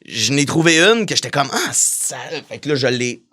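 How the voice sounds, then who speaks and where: A man's voice: medium pitch, big pitch swings, normal speed, sharp tone. A man with a Quebec French accent, a musician guest in a casual podcast interview, hesitant with many fillers and restarts.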